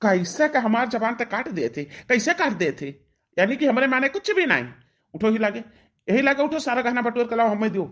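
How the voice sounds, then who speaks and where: Woman acting angry cartoon husband, Awadhi accent, fairly high pitch, commanding, threatening, melodramatic. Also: expressive, fairly quick. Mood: angry, bullying.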